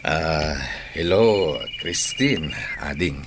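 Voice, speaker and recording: A man's voice: rumbling low, lively intonation, extremely slow, noisy background, full character voice. A man with an Ilocano accent playing a rich older suitor in a radio drama, persistent and coaxing. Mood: ingratiating.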